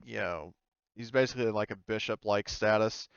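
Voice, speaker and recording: A man's voice: fairly low pitch, fairly quick, clean tone, dry recording, highs cut off. A man running a tabletop game as game master, narrating casually in a rambling, sweary, amused way.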